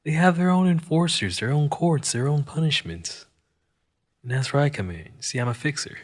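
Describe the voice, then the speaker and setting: An actor playing a cynical, wisecracking Martian criminal fixer in an audio drama monologue, with wry, world-weary delivery and deliberate pauses. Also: male voice, medium pitch, lightly acted. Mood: grim, emphatic.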